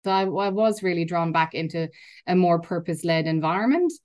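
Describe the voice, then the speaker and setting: A woman's voice: lowish voice, lively intonation, fairly quick, a little mellow. A woman in her thirties from Germany, with a German accent, who speaks as a guest in an earnest, articulate way and often repeats a word when she restarts. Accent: German, with time in Ireland. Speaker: guest, learning innovation executive. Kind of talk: reflective interview talk.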